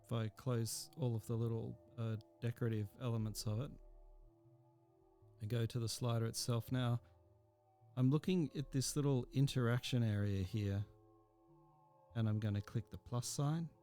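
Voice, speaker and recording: A man giving an informal software tutorial as a teacher, explaining each step as he goes. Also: mid-range voice, fairly even intonation, moderate pace, faint music behind.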